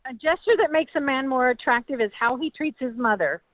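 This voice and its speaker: A woman's voice: high-pitched, brisk, no echo. A woman calling in to a radio show, speaking in a matter-of-fact way. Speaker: caller. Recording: tinny phone line.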